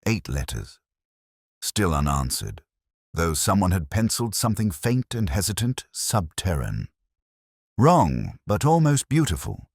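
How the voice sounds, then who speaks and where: A man narrating a first-person detective story in a measured, brooding, literary manner. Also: low-pitched, huge pitch swings, vocal fry, breathy edge, no room sound, clean studio sound.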